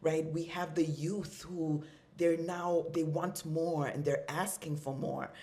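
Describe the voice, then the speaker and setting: A young woman from Congo, a fashion designer talking as a guest in a casual podcast interview, animated and full of "like" and "right?" fillers. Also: low-pitched, takes it slowly. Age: young adult.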